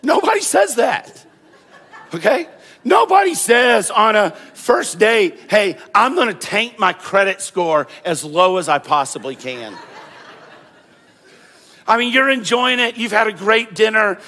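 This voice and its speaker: A man preaches in a church sanctuary, his fairly high voice trailing off into a long reverb. He talks to the congregation like a conversation, doubling back on his own words and getting more animated as he presses a point. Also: measured pace, slight background noise. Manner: conversational preaching with repeated phrases, growing animated. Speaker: pastor. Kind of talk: sermon.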